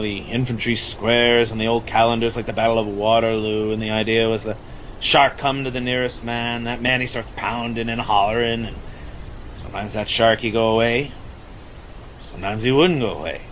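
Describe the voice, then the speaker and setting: A man acts out a weathered, boastful sea-dog with a haunted past. He rambles through his tales in a mid-pitched voice, like a veteran hunter holding court in an amateur audio drama. The sound is lo-fi, with clear background noise. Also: steady tone, brisk, fairly bright tone, lightly acted. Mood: grim, haunted. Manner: rambling salty storyteller, addressing listener as Chief. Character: gruff boastful old sea-dog shark hunter, haunted war survivor.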